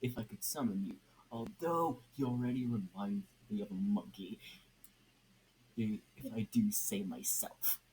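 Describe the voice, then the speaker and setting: Man playing a brash, bright-voiced young vigilante. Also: lowish voice, unhurried, natural voice, low-quality recording. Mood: dry, sarcastic. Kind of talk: amateur fan fiction audio reading. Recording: faint background noise.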